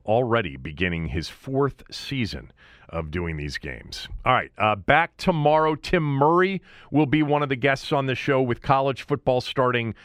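Male talk host, average pitch, casual sports chat with a very dry sound. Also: expressive, normal speed, a bit bright, no echo.

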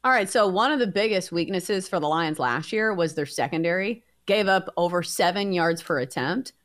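A woman's voice: on the low side, normal speed, fairly bright tone. An American woman co-hosting a sports show, putting a question to a guest. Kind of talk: interview questioning.

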